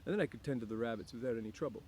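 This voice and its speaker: A man's voice: medium pitch, rapid-fire, darkish tone, a little hiss or hum, close to an everyday voice. A man voicing an earnest, simple-minded, childlike big man with plain rural speech in an audiobook. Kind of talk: audiobook character voice.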